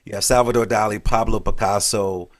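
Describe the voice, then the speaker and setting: A man hosting an interview, asking quick, enthusiastic questions with small restarts. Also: lowish voice, fairly even intonation. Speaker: podcast host.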